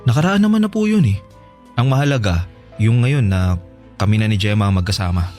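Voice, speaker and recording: Man acting low-voiced, earnest young husband. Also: lively intonation, fairly quick, a little mellow, soft background music, close to an everyday voice. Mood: earnest, defensive. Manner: polite, earnest. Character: earnest young husband, calmly defending his love. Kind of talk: Tagalog radio drama acting.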